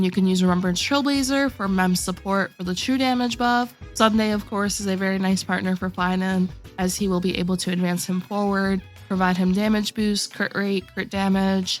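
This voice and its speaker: A woman with a sore throat hosting a gaming video, calmly walking viewers through a character tier list. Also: mid-range voice. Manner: steady explanatory walkthrough, addressing viewers directly. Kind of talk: game tier list commentary.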